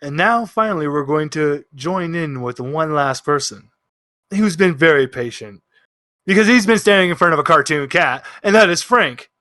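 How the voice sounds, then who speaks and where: High, bright-voiced male game master. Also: lively intonation, normal speed, dry recording, clean studio sound. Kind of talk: narrating a tabletop game, casual.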